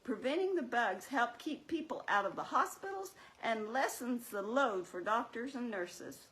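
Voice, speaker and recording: A woman's voice: a little high, expressive, moderate pace, fairly bright tone, poor audio. A woman playing a sensible female puppet in a scripted church puppet show, explaining things with wry, chiding teasing.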